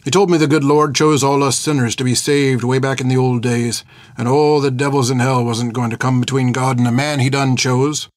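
A male audiobook narrator voicing an old reformed pirate, gruff and haunted, talking in rustic, ungrammatical sailor's speech. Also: medium pitch, level tone, normal speed, no echo, studio quality, close to an everyday voice. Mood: subdued, remorseful. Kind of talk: dramatic audiobook character acting.

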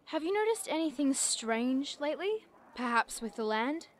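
An earnest young woman researcher in an audio drama. Her voice sits fairly high. She phrases things precisely and formally, with a bookish, logical bent. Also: very animated, normal speed, slight background noise. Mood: earnest, inquisitive.

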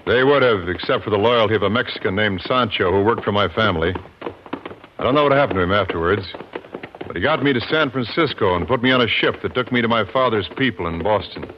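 An actor playing a grim, determined young avenger, terse and serious, in a muffled 1950s radio western. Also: male voice, fairly low pitch, quick pace, close to an everyday voice. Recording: muffled vintage radio recording, faint noise.

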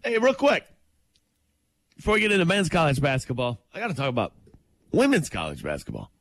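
A man's voice: fairly high pitch, wildly swooping pitch, a little fast, dry recording. Hyped man talking sports. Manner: hyped, emphatic, laughs easily. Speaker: morning show co-host. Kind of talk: excited sports talk.

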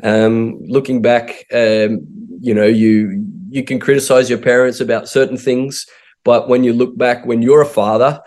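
A man's voice: mid-range voice, steady tone, measured pace, darkish tone. A middle-aged Australian man, a missionary pastor, telling his life story in an interview with many fillers and restarts. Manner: hesitant, full of fillers and restarts.

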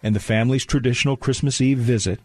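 A man acting as the genial narrator of an old-time holiday radio play, with polished, deliberate storyteller phrasing. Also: mid-range voice, steady tone, a little mellow, close to an everyday voice. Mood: scene-setting.

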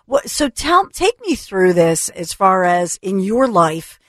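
A woman radio host asking interview questions, often halting and rephrasing midway. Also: mid-range voice, sing-song, no room sound, clean studio sound.

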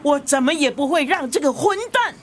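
Older matriarch, high voice, bull-tempered, scolding, stubborn, radio drama. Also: female voice, animated, brisk. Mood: furious. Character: bull-tempered older mother and grandmother, fiercely protective, scolding. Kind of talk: Chinese radio drama acting.

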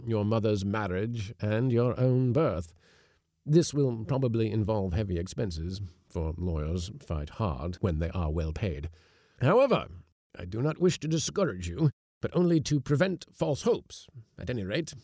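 A man's voice: mid-range voice, darkish tone, no room sound, clean studio sound, voice pushed up, lightly acted. A man voicing a middle-aged magistrate who speaks in a formal, cautioning way in a storybook reading.

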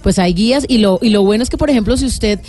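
A woman's voice: mid-range voice, fast, warm tone, soft background music. A woman hosting a radio show, chatting in an upbeat, promotional way from a studio. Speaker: radio host. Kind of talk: casual radio chat.

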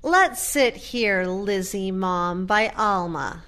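A woman playing a gentle, earnest daughter in an audio play, measured and reflective. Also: medium pitch. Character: gentle, earnest daughter visiting her mother in care.

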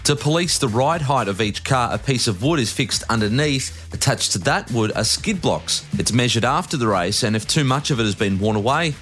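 A man's voice: mid-range voice, lively intonation, moderate pace, hardly any pauses, fairly bright tone. Sports news host, male, Australian, scripted narration, light music bed underneath.

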